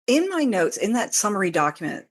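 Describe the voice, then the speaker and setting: A woman's voice: medium pitch, very animated, a little fast. A woman talking casually as an interview guest, explaining in detail in an informal, rambling way and often interrupting herself. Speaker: interview guest, fan fiction author. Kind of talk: casual, detailed explanation of own writing process.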